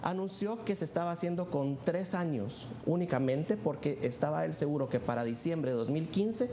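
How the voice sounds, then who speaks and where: A man explaining policy as a guest commentator in an interview. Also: medium pitch. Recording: dull, roomy, clearly noisy sound.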